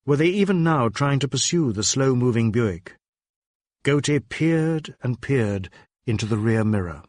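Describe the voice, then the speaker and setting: A man reading a crime novel as scripted narration, in a measured, dramatic and suspenseful manner. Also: medium pitch, lively intonation, a little mellow, no echo, clean studio sound. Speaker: audiobook narrator.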